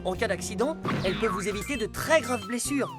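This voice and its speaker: A woman's voice: mid-range voice, animated, brisk, close to an everyday voice. A woman voicing a responsible, caring rescue car hero in a dubbed children's cartoon, instructive and encouraging. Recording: music behind the voice.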